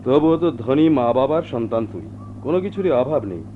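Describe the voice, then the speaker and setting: A muffled old radio play recording with some noise features a man acting a hearty young forest officer. His voice swings freely in pitch, breezy and friendly, the easygoing pal of the piece. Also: moderate pace, lightly acted. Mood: concerned. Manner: jovial, breezy, expressive. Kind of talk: Bengali radio drama acting.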